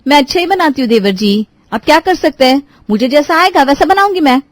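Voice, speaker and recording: A woman's voice: high voice, animated, a little fast, dry recording, pitched above the performer's own voice. A woman voicing a careless, defensive young daughter-in-law in a cartoon moral story, full of excuses.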